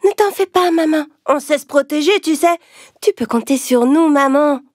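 A woman voicing small baby goats with high, shrill voices. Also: normal speed, lightly acted. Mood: reassuring. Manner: high-pitched, shouting together.

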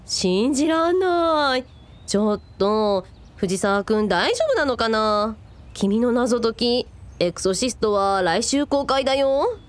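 A woman's voice: a little high, animated, takes it slowly. A woman playing a breezy, teasing young woman in casual radio drama dialogue. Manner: teasing, playful.

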